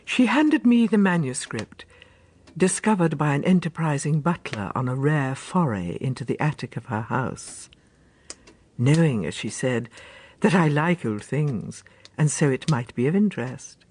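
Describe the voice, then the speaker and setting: An actress playing a genteel Victorian lady author, narrating an audio drama in a husky, measured voice. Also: sits low, expressive, a little mellow, heavily acted. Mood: composed, intrigued.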